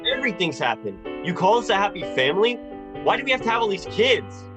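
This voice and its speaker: Man acting desperate family man, radio drama, highish voice, swinging pitch, agitated, stammering, music underneath. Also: sing-song, quick pace. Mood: irritable, bitter. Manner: agitated, stammering, repeating phrases. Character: frantic, short-tempered, desperate family man.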